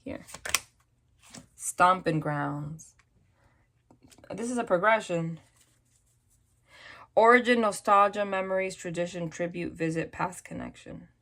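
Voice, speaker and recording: A woman's voice: fairly low pitch, slow, pauses often, a bit bright. A woman with a New York accent, chatting casually and rambling through a tarot reading at a table, with many restarts and asides. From New York / New Jersey area. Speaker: tarot reader. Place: indoors at a table, hands over cards.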